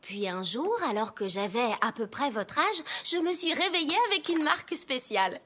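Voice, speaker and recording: Cheerful, swooping cartoon pony schoolteacher. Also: female voice, sits high, expressive, moderate pace, a bit bright, slight background noise. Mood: bright, instructive. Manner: clear teacherly explaining. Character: cheerful female pony schoolteacher, gentle but firm with pupils. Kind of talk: animated cartoon dub. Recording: music behind the voice.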